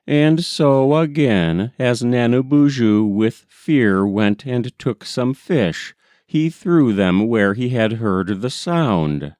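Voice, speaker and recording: A man reading a folk tale as scripted audiobook narration, deliberate and expressive. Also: medium pitch, dry recording, clean studio sound. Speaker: audiobook reader.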